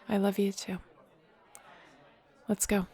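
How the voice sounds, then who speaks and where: A woman's voice: mid-range voice, warm tone. A woman playing a nervous, affectionate young werewolf about to lead her clan, in an audio roleplay. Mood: nervous, tender. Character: nervous young female werewolf shifter becoming clan Alpha, affectionate, teasing. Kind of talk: intimate audio roleplay acting.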